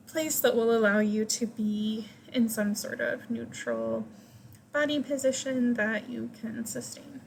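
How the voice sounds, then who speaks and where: A woman leading a guided meditation, giving gentle, invitational instructions in short phrases with pauses between them. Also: fairly high pitch, a little hiss or hum, rough recording.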